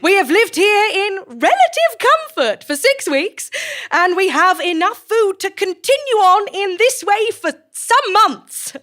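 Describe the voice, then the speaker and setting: A woman playing a formal, composed director of a sinister research facility, giving measured, officious speeches to staff in an audio drama. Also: very high-pitched, very animated, a bit bright, exaggerated voice. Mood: reassuring, officious.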